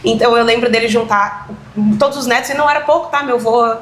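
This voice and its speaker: A woman's voice: fairly high pitch, fast, slight background noise. A woman, a dance teacher chatting as an interview guest, telling family stories with laughter and emotion. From São Paulo, Brazil. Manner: lively, laughing storyteller, emotional about family. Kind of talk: casual interview conversation.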